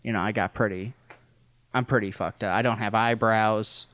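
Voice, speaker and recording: A man's voice: fairly low pitch, fairly even intonation, normal speed, fairly bright tone, no echo. American man telling a wry comic story. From rural Missouri, United States. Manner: wry, self-deprecating, profane. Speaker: podcast guest storyteller. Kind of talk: casual comedic personal storytelling.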